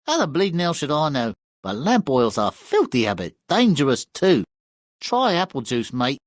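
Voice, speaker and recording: A man's voice: on the high side, huge pitch swings, normal speed, dry recording, clean studio sound. A man playing a brash, scheming crone in a video game, mocking and brusque. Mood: mocking, dismissive. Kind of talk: theatrical video game cartoon acting.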